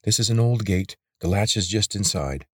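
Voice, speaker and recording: A man's voice: on the low side, animated, moderate pace, breathy edge, dry recording, clean studio sound, pitched above the performer's own voice. A man voicing an angry, suspicious young woman guard who speaks sharply and accusingly. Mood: hostile.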